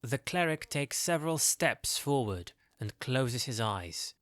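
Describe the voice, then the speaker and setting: A man narrates a scripted fantasy drama in a mid-pitched voice. The sound is close and very dry, like a studio booth. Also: lively intonation, measured pace, a bit bright, no room sound. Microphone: close, dry studio mic.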